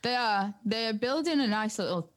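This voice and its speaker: A woman's voice: on the high side, expressive, normal speed. A young woman with a northern accent from the North East of England, chatting casually in a giggly, slangy, informal way. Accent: Northern English, North East. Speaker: DJ and music producer. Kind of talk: casual chat.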